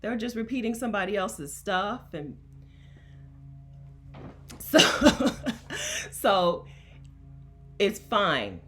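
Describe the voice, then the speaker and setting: A woman's voice: medium pitch, sing-song, drawn-out words, pauses often, a bit bright, soft background music. A woman from Delaware, a coach hosting a casual livestream and talking to her chat in an emphatic, chatty way, laughing often. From Delaware, USA. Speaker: coach and host.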